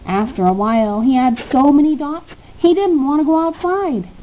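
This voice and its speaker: A woman's voice: high-pitched, normal speed. A woman reading a children's story aloud as a narrator. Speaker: storybook reader. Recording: tinny narrow-band audio, faint noise.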